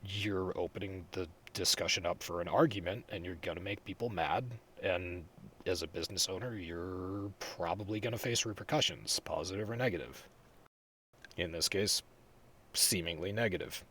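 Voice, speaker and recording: A man's voice: low voice, moderate pace, a bit bright, breathy edge. A man co-hosting a casual gaming podcast, talking bluntly and profanely with rambling restarts. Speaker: podcast co-host. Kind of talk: casual gaming news chat.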